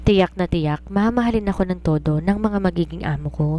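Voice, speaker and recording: A confident woman writer in a story, played by a woman narrator, with a slightly low voice. She is earnest and preachy, explaining things in a self-assured, instructive way. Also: fairly quick, mellow, close to an everyday voice. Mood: boastful, coaxing. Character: confident, preachy woman romance writer advising her maid. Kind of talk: audiobook character dialogue.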